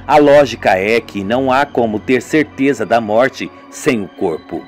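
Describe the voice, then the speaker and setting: A man's voice: medium pitch, animated, unhurried. A man with a Brazilian accent narrates a scripted radio serial theatrically, voicing each part himself. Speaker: narrator of an amateur radio serial, doing all the parts. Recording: music behind the voice. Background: music.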